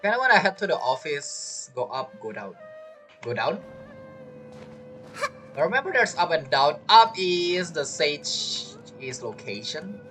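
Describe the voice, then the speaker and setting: Rambling game streamer, lively pitch. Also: a little slow, faint music behind, poor audio. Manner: rambling, thinking aloud. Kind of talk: casual live gameplay commentary.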